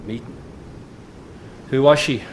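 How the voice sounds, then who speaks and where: A man's voice: medium pitch, slow pace, slight background noise, lightly acted. A man playing a weary, irritable middle-aged circus boss in a radio drama, speaking in a curt, exasperated way. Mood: accusing, irritated. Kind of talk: naturalistic radio drama acting.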